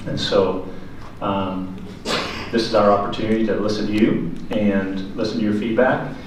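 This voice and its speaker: A man's voice echoes heavily through a meeting room, picked up from far off. He speaks on the low side as the chair of public comment, measured and a little hesitant, explaining things in plain but formal terms. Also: steady tone. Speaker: city council chair running public comment. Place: council chamber at city hall. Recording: echoey meeting room from afar, faint hiss.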